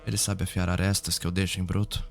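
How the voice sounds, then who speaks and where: A man's voice: sits low, level tone, fast talker, vocal fry. A man with a Brazilian accent playing a businesslike, formal forensic investigator weary of bureaucracy in an ASMR roleplay. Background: background music.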